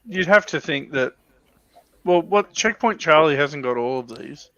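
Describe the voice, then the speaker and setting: A man's voice: fairly high pitch, lively intonation, a little slow, pushed and bright, no room sound, highs cut off. A man hosting a casual hobby livestream chat, talking hesitantly and restarting his phrases. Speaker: livestream host.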